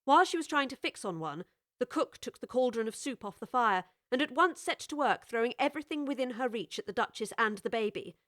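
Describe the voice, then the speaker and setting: A woman narrating a storybook with clear, expressive scripted storytelling. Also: on the high side, fairly quick, dry recording, studio quality.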